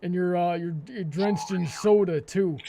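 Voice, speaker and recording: High-pitched man hosting, with halting delivery. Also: steady tone, dark tone, slight background noise. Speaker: host. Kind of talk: hosting a live panel discussion.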